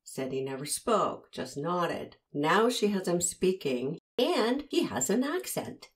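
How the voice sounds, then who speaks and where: A woman's voice: fairly low pitch, very animated, takes it slowly. A woman hosting a commentary, analyzing an interview and pressing the listener with pointed rhetorical questions. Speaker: host analyzing interview footage.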